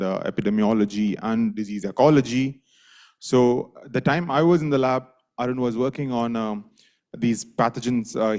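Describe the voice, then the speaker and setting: A man, introducing a lecturer in a hall with a halting, anecdotal story. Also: medium pitch, a little mellow. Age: 30s. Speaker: session host introducing a speaker. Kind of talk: spoken introduction.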